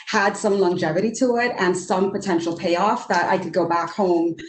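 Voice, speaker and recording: A woman's voice: fairly low pitch, steady tone, moderate pace, a little hiss or hum. A Trinidadian woman in her forties, an economist speaking earnestly on a video roundtable, with many fillers and restarts. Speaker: economist panelist. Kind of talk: roundtable discussion. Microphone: video call. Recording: roomy video call audio.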